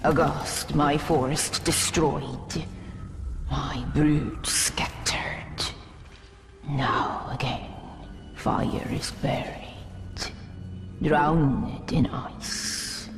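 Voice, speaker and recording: A woman playing an ancient, mournful female forest spirit in a video game, with a breathy, whispery voice and cryptic, archaic phrasing. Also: deep voice, fairly even intonation, extremely slow, full character voice. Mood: mournful, solemn. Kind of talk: theatrical video game acting. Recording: voice under cinematic music.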